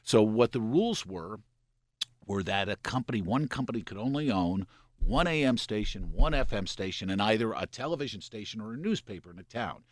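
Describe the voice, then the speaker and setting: A man in his sixties from Pennsylvania, a radio program director, telling stories in a relaxed, explaining way with a slightly gravelly voice. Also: lowish voice, fairly quick. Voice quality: gravelly from scotch and cigarettes. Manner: relaxed storyteller, explains with asides and "you know". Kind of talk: casual interview storytelling.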